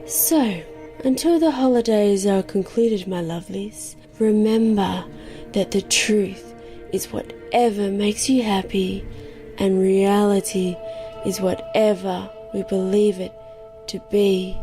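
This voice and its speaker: A woman's voice: mid-range voice, steady tone, slow pace, dark tone. A woman playing a kooky, affectionate new-age spiritualist in an audio comedy, speaking earnestly and airily. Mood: serene. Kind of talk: scripted comedy radio segment monologue. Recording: music behind the voice.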